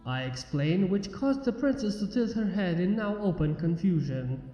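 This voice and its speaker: A man's voice: high voice, lively intonation, moderate pace, mellow. A man reading a scripted story as a fan fiction narrator. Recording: muffled, echoey long reverb under music. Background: music.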